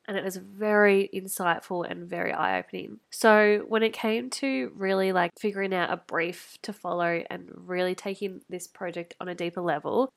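Woman in her twenties, nasal and stuffed up with a cold, gravelly fry, chatty solo podcast host. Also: mid-range voice, a bit bright. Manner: chatty, uses lots of fillers. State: sick with a head cold. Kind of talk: casual solo podcast chat.

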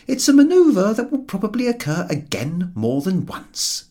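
A man's voice: high-pitched, wildly swooping pitch, moderate pace, mellow, pitched above the performer's own voice, full character voice. A man voicing a sardonic, grumbling Englishman in a storybook reading, with sarcastic, emphatic, pointed delivery.

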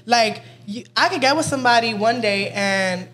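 A woman's voice: fairly high pitch, expressive, moderate pace, a bit bright. A young adult woman speaking directly and in slang in a casual group chat, as a panelist. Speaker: panelist on a dating talk show.

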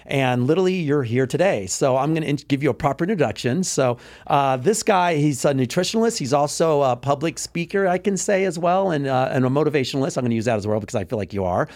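A young adult man from Texas hosting a podcast, chatting quickly with many fillers and restarts. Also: a little high. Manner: fast, animated, frequent fillers and restarts. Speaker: podcast host. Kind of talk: casual interview chat.

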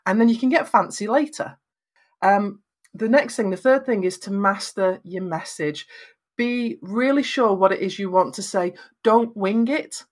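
A woman from northwest England with a Lancashire accent and flat vowels, giving encouraging advice about presenting on camera in an emphatic, conversational way. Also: medium pitch, a little slow. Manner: emphatic, encouraging, acts out little quotes. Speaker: guest giving video advice.

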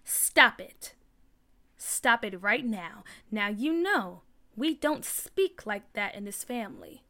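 Woman as mother, high voice, firm, measured, nurturing, reassuring, storybook character. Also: expressive. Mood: stern, calming.

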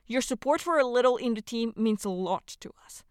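A woman's voice: on the high side, lively intonation, a little fast, clear, resonant tone, no echo, studio quality. A woman reading a scripted podcast announcement, with guitar music behind her.

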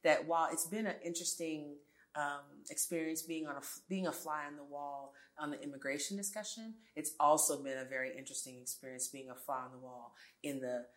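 A woman in her forties with a low-pitched voice speaks as an interview guest. She talks earnestly and emphatically, often restarting her sentences and adding asides. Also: steady tone, brisk. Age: 40s. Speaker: guest, tech entrepreneur. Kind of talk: conversational interview.

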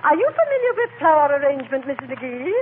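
A pretentious society matron, high-pitched and lavishly swooping. She is fluttery and genteel in 1940s radio comedy style, on a tinny broadcast recording. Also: very animated, fast, a little hiss or hum. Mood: haughty, fluttery. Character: snooty, affected society matron.

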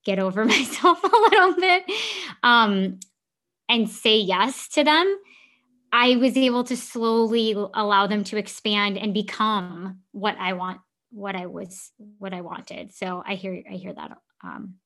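A woman's voice: medium pitch, measured pace, bright, forceful tone. Agreeable woman chatting casually. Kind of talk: casual group conversation.

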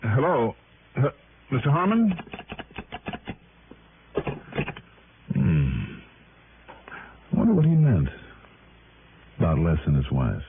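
An American actor playing a wry freelance insurance investigator in an old radio detective drama. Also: male voice, lowish voice, wildly swooping pitch, unhurried, pauses often, background noise, exaggerated voice. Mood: polite, uneasy. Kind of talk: 1950s-60s radio detective acting. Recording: narrow, tinny old radio drama recording, music.

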